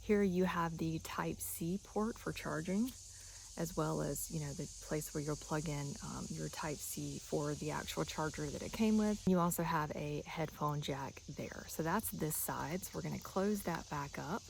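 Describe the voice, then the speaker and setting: Creaky, even-toned woman demonstrating a product. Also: low-pitched, unhurried, slight background noise, rough recording. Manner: chatty, instructional. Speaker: product reviewer.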